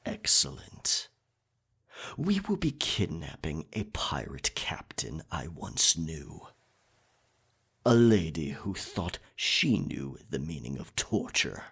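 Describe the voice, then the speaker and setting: A man playing a dominant, possessive pirate captain in an intimate ASMR roleplay, teasing and sly, with a raspy, breathy voice. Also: pushed and bright, heavily acted. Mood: vengeful relish. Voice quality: raspy, breathy, gravelly low voice. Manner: slow, deliberate, teasing pirate speech.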